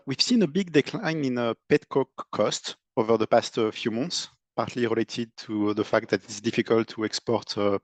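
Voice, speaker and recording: A male analyst asks business questions haltingly. His voice is of medium pitch, and the sound is dry and without echo. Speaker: financial analyst asking questions.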